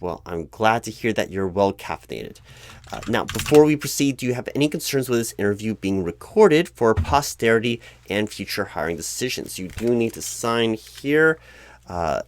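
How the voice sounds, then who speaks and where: A man playing a polite, flustered, bureaucratic recruiting manager in a spoken interview roleplay, haltingly repeating himself and over-explaining. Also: fairly low pitch, expressive. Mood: polite, welcoming. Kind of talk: one-sided ASMR interview roleplay, spoken aloud without whispering.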